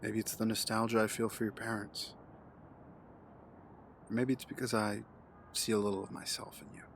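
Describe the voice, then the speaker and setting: An actor playing a calm, condescending, menacing villain mastermind in an audio drama, measured and taunting. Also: male voice, on the low side, creaky, slight background noise. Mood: smug, persuasive.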